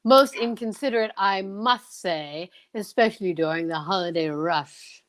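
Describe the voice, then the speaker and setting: A woman's voice: mid-range voice, measured pace, natural voice. A woman playing a prim, huffy male department store floor walker, fussy and formal, in an old-time radio parody.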